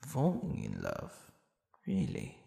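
A man voicing a tender, flustered demon boyfriend in an intimate ASMR roleplay, breathy and often near a whisper, speaking hesitantly. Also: very deep voice, wildly swooping pitch, echoey room, heavily acted. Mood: nervous wonder. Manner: slow, hesitant, soft chuckling.

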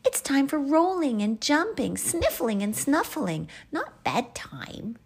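Woman voicing a playful, high-pitched little boy hippo. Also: takes it slowly, heavily acted. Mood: excited, playful. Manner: excitable, bouncy, childlike. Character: playful eager little boy hippo who resists bedtime. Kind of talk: children's bedtime storybook character.

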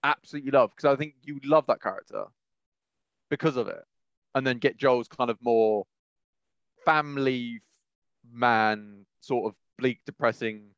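A man's voice: mid-range voice, dry recording, dull audio. A man chatting casually as a podcast co-host in a rambling, enthusiastic way. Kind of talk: casual chat.

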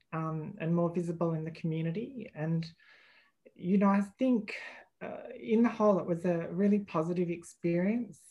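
Australian woman, podcast guest, measured and reflective, slightly low pitch. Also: mellow, highs cut off. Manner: thoughtful, hesitant, many fillers and restarts. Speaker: guest, employment lawyer. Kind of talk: podcast interview.

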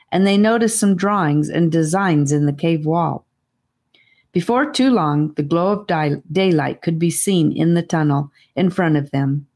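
Female audiobook narrator, low voice, scripted children's fantasy storytelling. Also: darkish tone.

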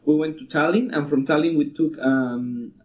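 Man, eager, slightly high voice. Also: fairly even intonation, a little mellow. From Mexico. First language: Spanish. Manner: enthusiastic, halting non-native phrasing. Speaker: guest. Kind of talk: casual interview chat. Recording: dull lo-fi recording.

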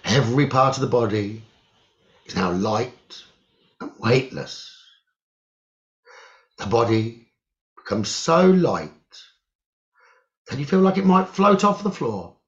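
Guy doing a guided meditation, slow short phrases w long gaps, pitch moves around a lot, kinda muffled lo-fi sound. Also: expressive. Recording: muffled, dull lo-fi audio.

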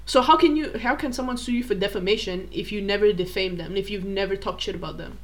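A woman's voice: mid-range voice, quick pace. Chatty teasing young woman. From Malaysia. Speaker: co-host. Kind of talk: casual chat.

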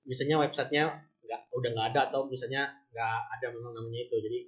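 A man's voice: mid-range voice, fairly even intonation, fast talker. A young adult man chatting casually as a podcast panelist. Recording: dull, lo-fi audio.

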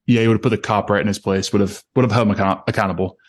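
Male podcast host, fairly low voice, casual chat delivery, talking to a guest. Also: steady tone, very fast, breathy edge.

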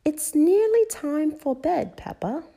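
A woman voices a gentle mother pig from a children's storybook. The voice is raised in pitch and lilting, soft and placating. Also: big pitch swings, slow, vocal fry, heavily acted. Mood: sleepy, placating.

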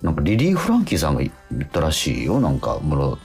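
A middle-aged man, hosting a radio show in casual, halting chat that moves into announcements. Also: very deep voice, huge pitch swings, a little mellow, faint music behind. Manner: halting, self-interrupting, repeats affirmations. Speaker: radio show host and stage actor.

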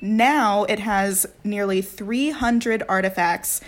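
A woman presents a fact on a relaxed podcast. She is enthusiastic, keeps breaking into giggles and leans on little fillers as she goes. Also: medium pitch, takes it slowly. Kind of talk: casual podcast chat.

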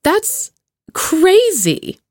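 A woman hosts a casual interview podcast with a playful, enthusiastic manner. Her pitch swings in an animated way and she leans on easygoing slang. The recording is dry, with hardly any room sound. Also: drawn-out words, dry recording. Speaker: podcast host interviewing a guest. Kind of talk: casual upbeat chat. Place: remote video call.

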